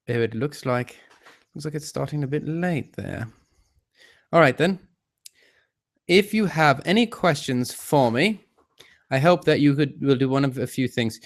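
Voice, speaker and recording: Male livestream host, medium pitch, fake English accent. Rambling, theatrical, mock-formal, self-deprecating delivery. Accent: put-on English. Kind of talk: rambling live chat to viewers.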